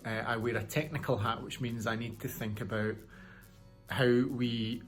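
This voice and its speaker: A man's voice: mid-range voice, fairly even intonation, normal speed, faint music behind. A man working as a sound designer, explaining his work in an interview style.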